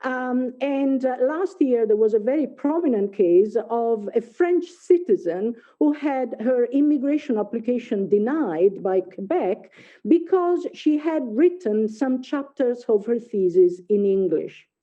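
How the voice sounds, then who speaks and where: A woman's voice: a little high, lively intonation, unhurried. A middle-aged woman from Italy with an Italian accent, a native Italian speaker giving an academic talk over a video call, hesitant with many fillers and restarts. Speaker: invited speaker, linguist. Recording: phone-like video call audio.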